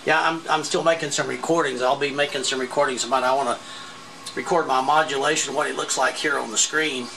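A man talking casually as an amateur radio operator, halting as he thinks aloud while filming in his radio room. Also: medium pitch, steady tone, creaky, a crowd in the background. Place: indoor home radio room. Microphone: handheld camera mic. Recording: noisy handheld camera recording.

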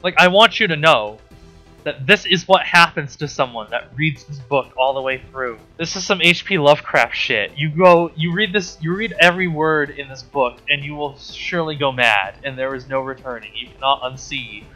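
A man's voice: a little high, normal speed, bright, forceful tone, muffled recording, faint music behind. A man giving a casual comedic rant as a book commentator, with an exasperated, sarcastic, profane way of talking. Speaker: host reviewing a book.

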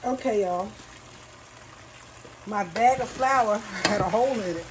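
A woman's voice: mid-range voice, takes it slowly, rough recording. A woman talking viewers through a recipe in her home kitchen, chatty and calling them y'all, recorded by a camera near the stove. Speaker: home cook vlogger. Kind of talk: casual cooking demonstration. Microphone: camera on a counter nearby. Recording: audible kitchen noise, food sizzling.

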